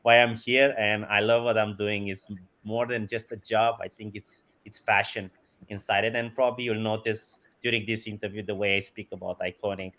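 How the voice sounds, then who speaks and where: A man's voice: fairly low pitch, flat delivery, moderate pace, pushed and bright. A man, enthusiastically answering interview questions and chuckling often. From Romania. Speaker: guest, company CEO.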